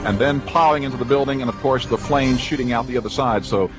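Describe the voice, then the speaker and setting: A man's voice: medium pitch, fast talker. Male anchor, medium register, live breaking-news delivery, prominent music underneath.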